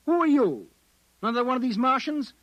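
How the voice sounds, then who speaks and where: An actor with an American accent in a 1950s radio drama, playing a blunt, rattled private investigator who talks in slang and stammers under pressure. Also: male voice, extremely high pitch, lively intonation, brisk, a bit bright, no room sound. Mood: bewildered. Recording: vintage 1950s radio recording.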